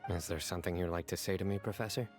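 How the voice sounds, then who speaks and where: A man voice acting a stoic young former student in a video game, composed and deadpan with a quietly teasing wit. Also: low voice, rapid-fire. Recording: game audio with background music.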